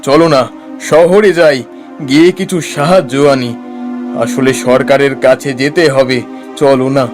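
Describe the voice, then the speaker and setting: A man's voice: medium pitch, fairly even intonation, slow, over music, close to an everyday voice. A man voicing a concerned village husband in a cartoon story, speaking earnestly. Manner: earnest, reasoning.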